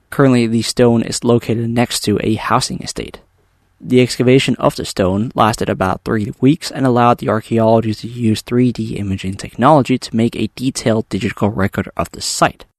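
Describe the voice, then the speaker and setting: A man's voice: lowish voice, no echo, studio quality. A man presenting a news roundup, reading steadily from a script with the odd filler.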